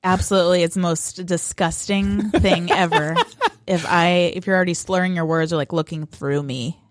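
A woman's voice: fairly low pitch, fairly even intonation, moderate pace, background noise. A woman guesting on a podcast in casual chat, blunt and chatty, laughing easily.

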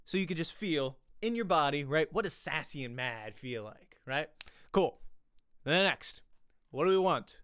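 Man, informal acting teacher, conversational, very expressive pitch, dry close-mic sound. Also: a little slow, smooth, no room sound. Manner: animated, conversational, often checking in with "right?". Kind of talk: informal online acting lesson. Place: small home room, indoors. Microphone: close desk microphone, headset on.

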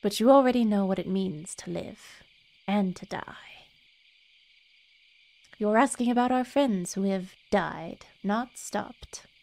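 A woman voicing a grave, gentle male mage automaton in a video game, soft-spoken and measured. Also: mid-range voice, vocal fry, poor audio. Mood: gentle, somber. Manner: measured, solemn.

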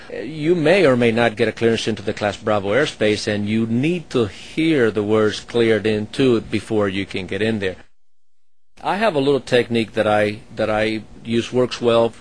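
Low-voiced man explaining air traffic control. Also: low-quality recording. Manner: hesitant, many fillers and restarts. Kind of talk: explaining his work to an interviewer.

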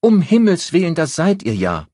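A man voicing a brisk, frank older grandmother with a teasing, matter-of-fact manner in an audiobook. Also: a little high, wildly swooping pitch, warm tone, breathy edge, no echo, clean studio sound, voice pushed up, exaggerated voice. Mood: surprised.

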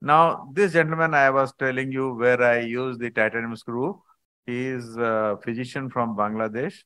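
A man, a surgeon, explaining surgical video clips in a halting conference talk over a video call. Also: medium pitch, fairly even intonation, bright, forceful tone, clear, resonant tone, no room sound. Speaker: surgeon presenting cases.

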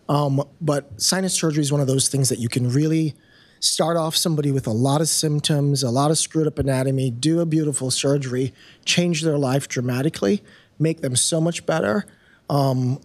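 A man in his fifties, a surgeon on a conference panel, talking in a relaxed, joking way into a handheld mic. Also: a little high. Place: conference room.

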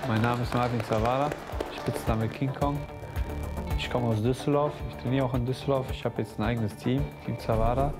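A man's voice: medium pitch, fairly even intonation, a little fast. A man in his thirties, a fight coach, introducing himself plainly in a reality show interview. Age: 30s. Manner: plain, matter-of-fact self-introduction. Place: dark studio, indoors. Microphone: lapel. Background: music.

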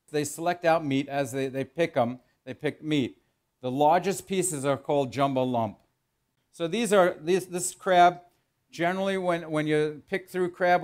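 A middle-aged man, a chef teaching in a kitchen, explaining as he works in an informal, halting way and repeating phrases for emphasis. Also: on the high side. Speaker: chef giving a cooking demonstration. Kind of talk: instructional cooking demo, explaining while working. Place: indoor professional teaching kitchen.

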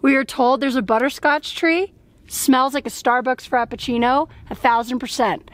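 A young woman talks in a fairly high voice. She is a travel vlogger, informal and full of enthusiasm, chatting into a handheld camera. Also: normal speed, dry recording. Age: young adult. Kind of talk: casual travel vlog chat. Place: handheld vlog camera, mostly outdoors, also car and hotel room. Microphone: camera at arm's length.

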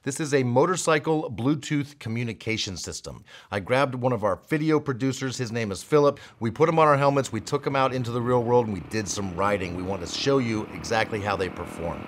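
A man's voice: mid-range voice, a little fast, few pauses. Middle-aged man hosting a product review. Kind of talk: scripted presentation to camera. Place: indoor studio set with shelves.

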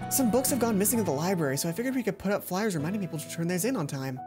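A woman's voice: fairly low pitch, lively intonation, rapid-fire, close to an everyday voice. A woman voicing an earnest, bookish teenage schoolgirl in a visual novel, polite and mild. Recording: background game music.

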